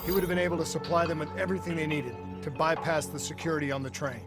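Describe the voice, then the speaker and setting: A man's voice: a little high, very fast, close to an everyday voice. A man playing an earnest rookie cybernetic soldier in a video game, with a questioning manner. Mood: focused. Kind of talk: video game cinematic acting. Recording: prominent music behind the voice.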